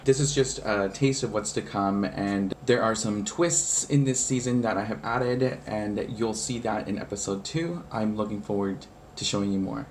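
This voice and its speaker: A man's voice: fairly low pitch, moderate pace, few pauses, slight background noise. Casting: male talk show host, thirties, informal and conversational. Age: 30s. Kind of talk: casual chat and talk to viewers.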